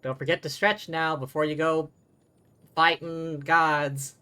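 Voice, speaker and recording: A man's voice: fairly high pitch, level tone, slow, sharp tone, clear, resonant tone. A man livestreaming a game with casual commentary, chatty and thinking aloud. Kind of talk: casual live stream commentary.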